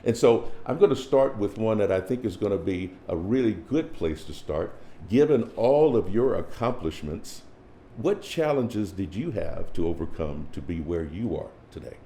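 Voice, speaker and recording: A man's voice: on the low side, expressive, normal speed, mellow, a little hiss or hum. A man moderating a panel discussion, introducing guests with eager emphasis. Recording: roomy echo with faint ambience.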